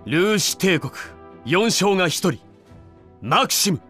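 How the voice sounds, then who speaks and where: An actor playing a proud, defiant prince and general in a video game, haughty and forceful. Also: male voice, high voice, lively intonation, slow pace, soft background music, full character voice. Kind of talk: video game cutscene acting.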